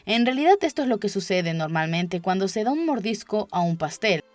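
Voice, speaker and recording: Casting: female audiobook narrator for a children's tale, mid-pitched voice, clear music bed underneath. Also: animated, a little fast, darkish tone, no echo. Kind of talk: scripted children's story narration. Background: background music.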